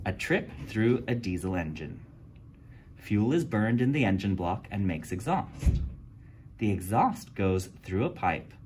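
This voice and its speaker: A man reading a children's picture book aloud indoors, with lively storytelling. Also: lowish voice, a little slow, noisy background. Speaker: county environmental staff reading to kids. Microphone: camera filming book over shoulder.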